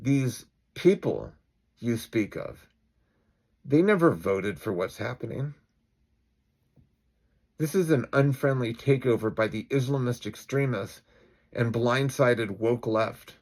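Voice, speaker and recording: A man's voice: medium pitch, animated, takes it slowly, long pauses, a little mellow. Man acting out a grimly resolute vigilante. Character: calm, defiant male citizen vigilante, grimly resolute.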